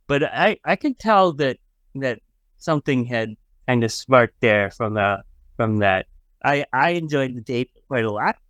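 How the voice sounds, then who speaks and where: A man from Ohio talks in a smooth, clear voice, stumbling and repeating his first words before he settles into a thought. The recording is dry and close. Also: medium pitch, a bit bright, dry recording. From Ohio, United States. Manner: halting, repeats words as he starts sentences. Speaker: interview guest. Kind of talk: casual conversation.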